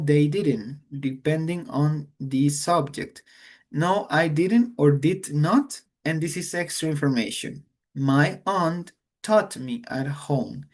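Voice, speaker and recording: Slow-paced male teacher. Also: fairly high pitch, darkish tone. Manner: slow, explains in English then repeats in Spanish. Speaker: English teacher. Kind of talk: online grammar lesson.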